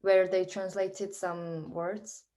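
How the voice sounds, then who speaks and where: A young woman, a student, sharing calm, plain remarks on a video call. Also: lowish voice, slow. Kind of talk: casual webinar remarks.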